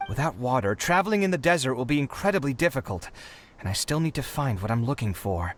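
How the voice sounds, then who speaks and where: A man's voice: mid-range voice, expressive, fast, natural voice. A man playing a harried young inventor in a video game, dramatic and put-upon but kind-hearted, with an exasperated manner. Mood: exasperated, pleading. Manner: exasperated, theatrical, sighing. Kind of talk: animated video game character acting.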